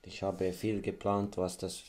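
A man in his thirties with a Swiss German accent and a deep voice. He recalls things casually as an interview guest, pausing thoughtfully to find his words. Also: flat delivery, a little mellow. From Switzerland. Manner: hesitant, thoughtful, searching for words. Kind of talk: casual recollection.

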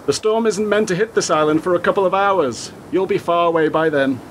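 A man's voice: high-pitched, fairly quick, a little hiss or hum, lightly acted. A man playing a polite, reassuring, understated government official in a radio play. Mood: calm, reassuring.

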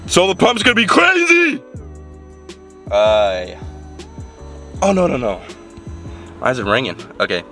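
A man's voice: mid-range voice, wildly swooping pitch, a little slow, long pauses, bright, forceful tone, soft background music. A young man with a nasal, congested voice, rambling casually to a handheld camera from inside his car, full of fillers and restarts. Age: young adult. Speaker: fitness vlogger. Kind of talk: casual vlog talk to camera. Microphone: camera held at arm's length.

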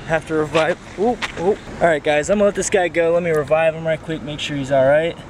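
A young man chatting casually to a head-mounted camera while fishing, talking excitedly to the viewers and to the fish he catches. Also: on the high side, quick pace. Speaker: fishing vlogger. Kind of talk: casual first-person commentary while fishing. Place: outdoors by a pond and dam. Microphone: head-mounted action camera. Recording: outdoor ambience, wind and water noise.

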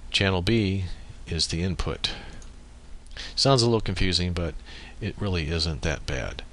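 A man giving a step-by-step software tutorial as screencast narration, speaking into a USB microphone. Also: deep voice, unhurried, a little hiss or hum.

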